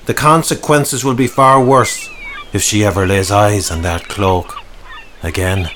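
A man voicing a stern, forceful character giving a grave warning in an audiobook story. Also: fairly low pitch, steady tone, normal speed, a little hiss or hum, close to an everyday voice. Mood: stern, urgent. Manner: firm, emphatic.

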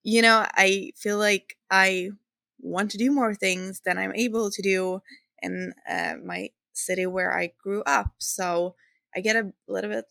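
Swedish lady doing a casual podcast, creaky voice, dry recording, kinda searching for words. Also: mid-range voice, steady tone, measured pace, pushed and bright, dry recording. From Sweden. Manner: informal, hesitant, searching for words. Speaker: podcast host and fitness coach. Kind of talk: casual solo podcast chat.

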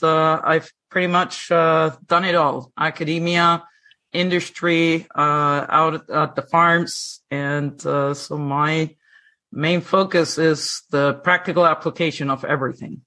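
A woman's voice: deep voice, fairly even intonation, measured pace, a bit bright. A woman from Spain, introducing herself as a podcast guest and hesitating with many fillers. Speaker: guest, epidemiologist.

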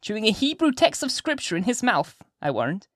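A narrator voicing a sly, sinister old gentlewoman witch from a classic story, shrill and theatrical, with drawn-out archaic phrases. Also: sing-song, dry recording, clean studio sound. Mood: sly, insinuating. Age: older. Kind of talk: dramatised audiobook character reading.

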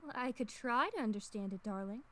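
A woman playing a sweetly coaxing, affectionate wife with theatrical, drawn-out delivery in an old-time radio drama. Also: fairly high pitch, bright, forceful tone. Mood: affectionate, uneasy. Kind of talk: 1930s-style radio drama acting.

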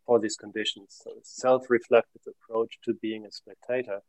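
A middle-aged man from Germany, an academic guest, giving reflective and measured interview answers that trail off at the ends of phrases. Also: medium pitch, flat delivery, dry recording, poor audio.

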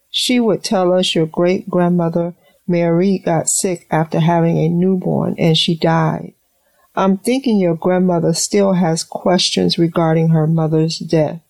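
A woman playing a caring middle-aged mother in an audio drama, speaking in a measured, sincere way. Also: fairly low pitch, creaky, warm tone, no echo.

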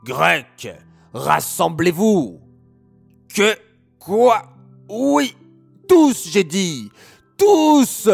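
A man's voice: sits high, very animated, very slow, gravelly, long pauses, breathy edge, faint music behind, voice pushed up, heavily acted. A man voicing a cruel, raging tyrant king who barks orders. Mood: furious. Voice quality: shouting, furious.

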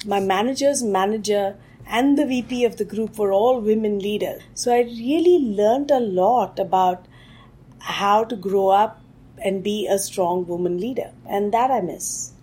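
A woman from India, a technology executive answering interview questions thoughtfully and often pausing on "uh". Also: mid-range voice, darkish tone, husky. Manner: thoughtful, with frequent "uh" fillers.

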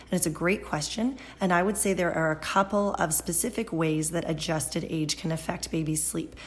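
A woman in her thirties hosting a podcast on baby sleep, her voice hoarse and scratchy, explaining things slowly and patiently in a chatty way. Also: lowish voice. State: hoarse, scratchy voice from a seasonal cold. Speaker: pediatric sleep consultant and podcast host. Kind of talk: solo podcast Q and A.

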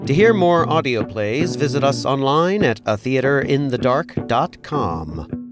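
A man's voice: mid-range voice, big pitch swings, unhurried, over music. A man giving a scripted announcement as the credits reader of an audio play. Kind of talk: scripted narration.

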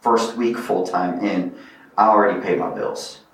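A low-voiced man from Bosnia who grew up speaking another language tells his story in an earnest, reflective way. He sits a little back from the mic, and a strong room echo hangs on his words. His talk is an unhurried guest interview. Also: steady tone, distant mic. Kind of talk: casual interview.

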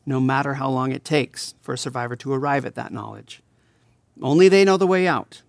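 Man playing an earnest, creaky-voiced male advocate. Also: mid-range voice, brisk, no room sound, clean studio sound, lightly acted. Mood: earnest, explanatory. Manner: earnest, didactic. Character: earnest, thoughtful male survivor advocate giving explanations. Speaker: interview guest. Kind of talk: scripted explanatory interview acting.

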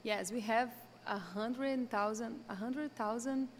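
A woman's voice: a little high, slow, slight background noise. Brazilian-accented woman host, reverberant room. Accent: Brazilian Portuguese. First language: Portuguese. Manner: warm, affirming, frequent "mm-hmm". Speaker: podcast host. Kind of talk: podcast interview.

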